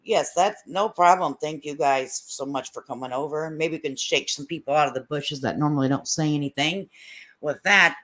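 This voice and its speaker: A woman's voice: sits low, moderate pace, fairly bright tone. A woman hosting a casual livestream commentary, talking to her chat in blunt, opinionated, folksy slang and repeating points for emphasis. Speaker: livestream host.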